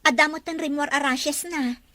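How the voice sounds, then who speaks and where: A woman's voice: high voice, steady tone, moderate pace, bright, forceful tone. A woman playing a dutiful, polite young wife and mother in a radio drama. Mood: polite, concerned.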